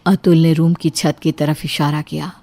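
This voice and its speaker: A woman's voice: sits low, flat delivery, normal speed, dark tone. A woman reading scripted horror story narration as the storyteller.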